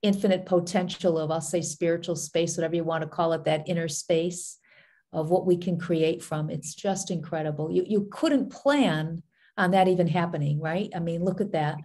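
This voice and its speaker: A woman's voice: lowish voice, fairly even intonation, moderate pace, dark tone. A woman talking reflectively on a group video call, rambling through a personal story.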